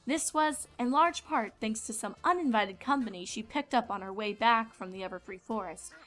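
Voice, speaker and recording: A woman's voice: on the high side, normal speed, fairly bright tone. A woman reading scripted storybook narration as the narrator. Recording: music behind the voice.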